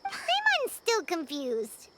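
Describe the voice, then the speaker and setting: A woman voicing a tiny, perky, easily confused childlike fairy sidekick in a video game, squeaky and exclamatory. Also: huge pitch swings, takes it slowly, heavy background noise, heavily acted. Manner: squeaky, exclamatory, refers to self by name. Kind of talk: scripted video game voice acting. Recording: loud music behind the voice.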